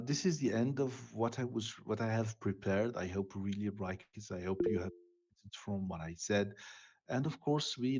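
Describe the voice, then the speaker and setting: A man giving an online lecture in an earnest, halting way, with frequent restarts, over a video call. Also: mid-range voice, darkish tone.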